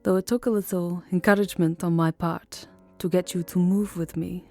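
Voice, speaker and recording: Vampire countess, played by a woman: low, mellow, lovelorn and sorrowful, soft formal delivery for ASMR roleplay. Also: fairly even intonation, moderate pace, faint music behind, close to an everyday voice. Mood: tender, romantic. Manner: soft, hesitant, formal, often dropping into whispers. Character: lovelorn, melancholy vampire countess, burned and self-loathing. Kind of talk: ASMR roleplay voice acting, second-person romance.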